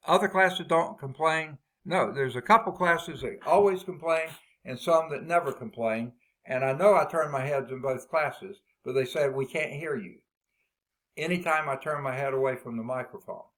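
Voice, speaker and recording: A man with a loud voice, leading a math department staff meeting over a video call. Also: on the high side, moderate pace, rough recording. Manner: loud voice, talkative and explaining. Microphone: laptop built-in mic on a video call.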